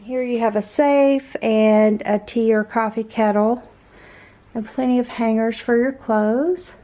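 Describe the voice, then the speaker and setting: A woman vlogging a walk-through of a hotel suite, chatty and upbeat, her voice a little raspy after an overnight flight, recorded on the filming camera indoors. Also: fairly high pitch, steady tone, measured pace, mellow, highs cut off. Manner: chatty, upbeat, tour-guide pointing out details. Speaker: travel vlogger. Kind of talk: casual hotel tour vlog.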